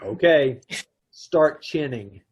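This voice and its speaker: A man's voice: fairly high pitch, expressive, takes it slowly, husky, muffled recording, full character voice. A man playing an exasperated, wry husband who also narrates, in an amateur old-time radio play. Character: put-upon husband, adman Mister Ace. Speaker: narrator of the story.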